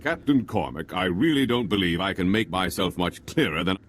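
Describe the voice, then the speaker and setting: A man's voice: sits low, expressive, moderate pace, slight background noise. An actor playing a haughty aristocratic nobleman in a video game, speaking in a formal, pompous, indignant way. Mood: stiffly formal. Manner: pompous, formal, ornate wording. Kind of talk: video game cutscene acting.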